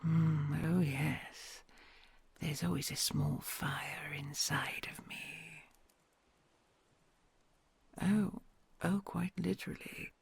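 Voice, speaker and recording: A woman voicing a kind, gentle female red dragon queen in an ASMR audio roleplay, talking in a soft, soothing, mostly whispered way. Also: sits low, fairly even intonation, extremely slow, gravelly, pauses often, husky, no room sound, exaggerated voice. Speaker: voice actor.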